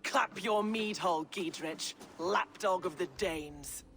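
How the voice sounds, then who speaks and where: A woman's voice: fairly low pitch, slow pace, fairly bright tone. An actress playing a haughty, vengeful Saxon noblewoman in a video game, scornful, commanding and foul-mouthed.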